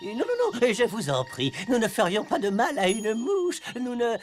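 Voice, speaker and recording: A woman's voice: a little high, wildly swooping pitch, normal speed, faint music behind. A woman voicing a learned, courteous and easily flustered animal sidekick in a fairy-tale cartoon, with formal polite phrasing.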